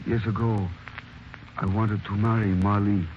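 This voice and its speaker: An actor playing a grave, oath-bound old servant. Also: male voice, fairly low pitch, level tone, natural voice. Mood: solemn. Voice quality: slow, measured, grave delivery. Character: solemn aging male servant bound by an oath. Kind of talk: 1940s radio acting. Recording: muffled old radio recording with hiss.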